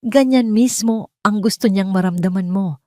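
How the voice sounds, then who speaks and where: A woman's voice: medium pitch, moderate pace, no echo, clean studio sound, voice pushed up, close to an everyday voice. Woman voicing a firm, protective Filipino friend. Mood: calm, firm. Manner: calm but emphatic. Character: firm, protective, determined friend in a romance drama.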